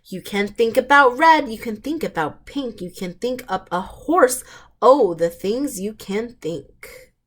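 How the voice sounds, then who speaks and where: A woman's voice: fairly high pitch, lively intonation, unhurried, a bit bright. A woman reading picture books aloud to young children, drawing out words expressively and putting questions to her listeners. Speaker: children's storytime reader.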